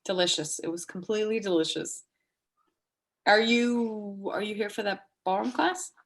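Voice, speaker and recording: A woman in a dramatic reading, playing a sharp, foul-mouthed, vulnerable woman with a halting, blunt delivery. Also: mid-range voice, lightly acted. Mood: awkward, friendly. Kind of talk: dramatic reading of a stage play dialogue.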